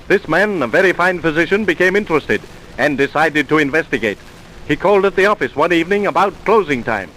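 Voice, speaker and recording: An actor playing a grave, emphatic narrator of an old radio mystery, formal and declamatory. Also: male voice, fairly high pitch, animated, brisk, fairly bright tone. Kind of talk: vintage scripted radio narration. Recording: noisy vintage radio recording.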